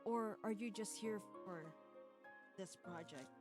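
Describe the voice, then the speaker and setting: A woman hosts a casual live stream with an average-pitched voice. She talks in loose, halting sentences that wander as she goes. Music plays behind her. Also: a little mellow. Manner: rambling, halting. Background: background music.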